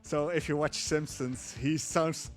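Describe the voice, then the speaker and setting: Guy casually narrating his game run live, kinda halting, dry jokes, game music behind him. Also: on the high side, steady tone. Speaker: speedrunner at a gaming marathon. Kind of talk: live speedrun commentary, casual.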